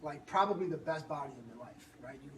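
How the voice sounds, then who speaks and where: A man's voice: fairly high pitch, fast talker. A man coaching a fitness group, talking with energy and hyping people up. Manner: energetic, hypes people up, laughs often. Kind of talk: motivational group talk. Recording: roomy, from far off, noisy.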